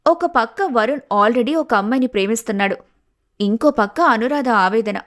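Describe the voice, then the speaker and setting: A woman's voice: fairly high pitch, normal speed. A woman narrating a scripted family story as the storyteller.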